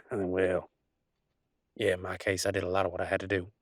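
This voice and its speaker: A man's voice: sits low, steady tone, unhurried, fairly bright tone, no echo, studio quality. A man playing an affectionate, easygoing werewolf boyfriend in an intimate roleplay audio, with a folksy, rambling, teasing manner. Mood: reflective, reassuring. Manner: folksy, rambling, playful drawl with colloquial turns. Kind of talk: intimate one-sided roleplay audio, conversational acting.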